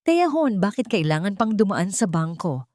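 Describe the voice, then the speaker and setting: A woman's voice: medium pitch, big pitch swings, moderate pace, a little mellow, no echo, studio quality, lightly acted. A woman voicing a manipulative, falsely tender husband in an audio story, coaxing and wounded, with a Filipino accent.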